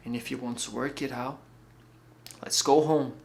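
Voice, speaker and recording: A man acting a wounded, creaky-voiced fiancé. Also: mid-range voice, fairly even intonation, normal speed, darkish tone, close to an everyday voice. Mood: pleading. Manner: earnest, insistent. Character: wounded, jealous young fiancé, pleading yet proud. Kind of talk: romantic drama monologue.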